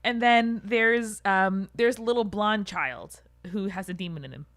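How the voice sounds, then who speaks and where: A woman's voice: mid-range voice, a little slow, fairly bright tone, no echo, studio quality. A woman co-hosting a podcast in casual chat, reacting freely and swearing casually. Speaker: podcast co-host.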